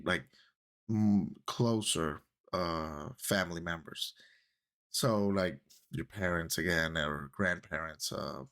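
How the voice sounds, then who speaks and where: A man's voice: low voice, a bit bright. A man in his thirties with a Puerto Rican accent, chatting casually to his viewers and rambling a little. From Puerto Rico. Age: 30s. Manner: chatty, rambling, switches into Spanish phrases. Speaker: YouTube creator. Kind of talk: casual talk to camera.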